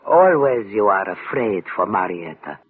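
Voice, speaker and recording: A mournful old backstage dresser in a radio play. The voice is low and husky, insinuating, with foreign-sounding phrasing. It comes through a muffled vintage broadcast. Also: male voice, very animated, measured pace, full character voice. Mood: knowing, mournful. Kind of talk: old-time radio drama acting.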